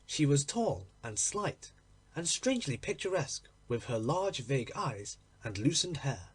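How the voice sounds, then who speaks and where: A man reading a literary story aloud in an expressive, measured, deliberate narrating style. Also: medium pitch, breathy edge. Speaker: audiobook reader.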